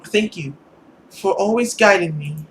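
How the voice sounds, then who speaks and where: Visual novel daughter, played by a man: timid, obedient young girl, soft higher voice, apologetic and guilt-ridden. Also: measured pace, a little hiss or hum, low-quality recording. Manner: meek, hesitant, apologetic. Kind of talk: visual novel read-aloud character voice.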